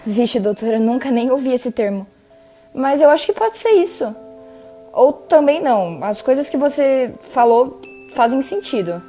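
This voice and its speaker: A woman's voice: high-pitched, sing-song, moderate pace, warm tone, faint music behind. A woman acting a tired, anxious young patient in an audio drama, answering in hesitant, unsure replies. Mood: weary. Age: teens.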